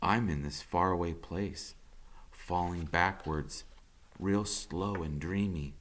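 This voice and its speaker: A man reading a novel aloud as a dramatic first-person narration, expressive and suspenseful. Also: low-pitched, slow pace.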